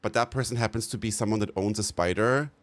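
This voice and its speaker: Male streamer, lowish pitch, loose animated chat with his viewers, cursing and laughing freely. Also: quick pace. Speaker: live streamer. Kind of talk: casual chat with live viewers.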